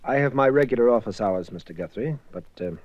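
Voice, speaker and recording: A man's voice: medium pitch, expressive, brisk, close to an everyday voice. A man playing a testy, defensive doctor. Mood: irritated. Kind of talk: 1950s radio drama acting. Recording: dull, faintly noisy old broadcast.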